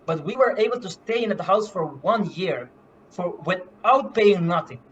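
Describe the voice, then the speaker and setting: A man from Curaçao, a medical missionary, giving an earnest, halting faith testimony full of fillers and restarts. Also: sits high, animated, fairly bright tone, muffled recording. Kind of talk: personal faith testimony in a live stream.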